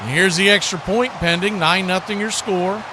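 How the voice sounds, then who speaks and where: A man's voice: sits high, moderate pace, noisy background. An American man doing live football play-by-play and chat as the host of a stream, with a folksy, conversational manner.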